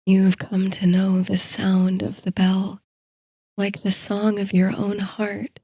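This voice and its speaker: Slow, hushed woman narrating softly. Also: mid-range voice, monotone, no room sound. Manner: soothing, hushed, breathy delivery. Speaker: host and narrator of a sleep story series. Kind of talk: soft scripted bedtime story and guided meditation narration.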